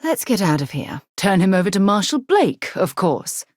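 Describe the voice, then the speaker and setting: A woman's voice: mid-range voice, very animated, normal speed, a little mellow, dry recording, clean studio sound. A woman voicing a composed, articulate and principled space explorer and expedition leader in a video game. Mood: composed, earnest. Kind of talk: video game companion dialogue.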